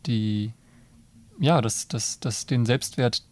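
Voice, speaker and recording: A man's voice: mid-range voice, slow pace, a little mellow. A man in a casual discussion who sounds tentative and thinks aloud with many restarts. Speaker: discussion participant.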